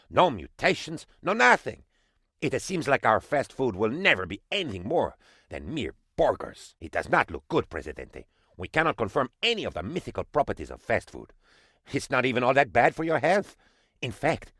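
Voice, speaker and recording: An actor voicing a bumbling, excitable and fawning aide to a dictator in a comic video game, with theatrical delivery. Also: male voice, medium pitch, no room sound, studio quality. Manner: theatrical, fawning, swooping between excitement and dismay. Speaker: game advisor character.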